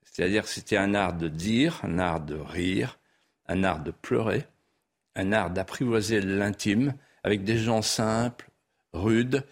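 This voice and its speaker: A man whose first language was a regional patois, a guest author in a studio interview, speaking in a lyrical, sweeping way that builds to emphatic peaks. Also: fairly low pitch. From France. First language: Vendée patois.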